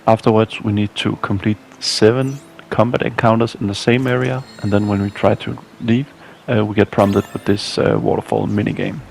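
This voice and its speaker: A man's voice: on the low side, steady tone, normal speed, creaky, a little mellow, a little hiss or hum. A man narrating a scripted video game walkthrough as a guide.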